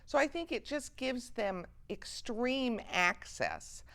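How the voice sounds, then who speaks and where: A woman's voice: on the high side, lively intonation, slow pace, fairly bright tone. A woman with an American accent speaking as an advocacy spokesperson in a news interview. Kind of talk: interview soundbite.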